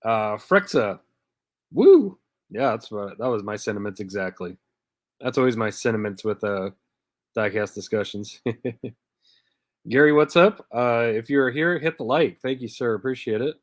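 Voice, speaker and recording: A man's voice: medium pitch, animated, unhurried, long pauses, dry recording, studio quality. A man in his thirties hosting a live diecast car stream, stuffy from a head cold and chatting casually as he greets viewers by name. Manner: laid-back, chatty, greets viewers by name with little laughs.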